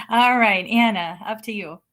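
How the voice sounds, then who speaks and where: A woman's voice: fairly high pitch, a bit bright. A woman chairing an informal board meeting, friendly and lightly joking as she hands the floor to others. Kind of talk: running an informal board orientation.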